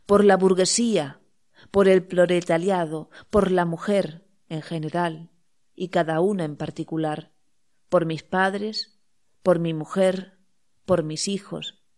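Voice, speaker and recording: A woman reading a literary audiobook of verse, pausing deliberately between short phrases. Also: medium pitch, darkish tone. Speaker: audiobook reader.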